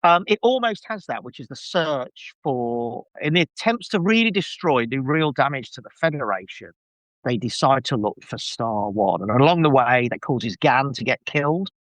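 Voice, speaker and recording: A man's voice: medium pitch, animated, moderate pace, fairly bright tone, no room sound, poor audio. A man chatting casually as a podcast guest, giving an enthusiastic fan review full of rambling asides.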